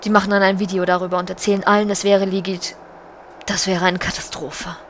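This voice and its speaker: A woman's voice: medium pitch, little pitch movement, fairly quick, a little hiss or hum, close to an everyday voice. A woman playing a regal, solemn, commanding undead banshee queen in an audio drama, speaking in a stately, formal way. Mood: urgent, conspiratorial. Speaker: voice actor in a parody audio drama.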